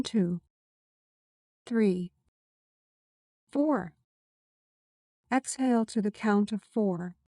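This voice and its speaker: Calm woman reading meditation affirmations, really slow and soothing, relaxed warm tone. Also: medium pitch, dry recording, clean studio sound. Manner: calm, soothing, deliberate delivery. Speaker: guided meditation narrator. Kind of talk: scripted guided meditation with affirmations.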